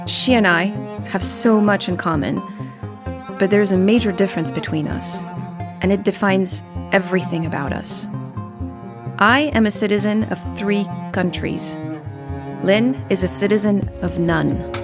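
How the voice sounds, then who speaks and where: Documentary host, female, mid pitch, measured narration over a strong music bed. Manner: measured, reflective, posing questions. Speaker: journalist and podcast host.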